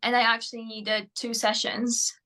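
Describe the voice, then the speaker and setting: A woman whose first language is Polish, a mental performance coach answering interview questions in English, often pausing with fillers and restarting her sentences. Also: a little high, little pitch movement, sharp tone. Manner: hesitant, many fillers and restarts.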